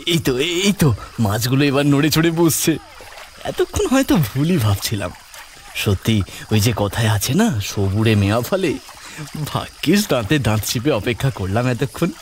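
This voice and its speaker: An actor playing a grumbling, excitable young village man who mutters to himself in an audio horror story. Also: mid-range voice, moderate pace, a little hiss or hum, natural voice. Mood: relieved, pleased. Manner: muttering to himself, colloquial, exclamatory.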